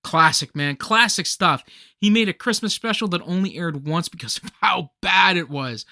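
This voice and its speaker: A male actor playing an excitable, nostalgic, nerdy man in a radio comedy play, with theatrical, geeky banter. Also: sits high, normal speed, creaky, dry recording, clean studio sound, full character voice. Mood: earnest, melodramatic.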